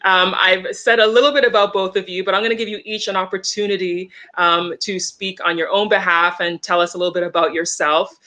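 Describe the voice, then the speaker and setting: A woman hosting a live-streamed interview, chatty and relaxed with frequent fillers. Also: medium pitch, brisk, hardly any pauses. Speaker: podcast host.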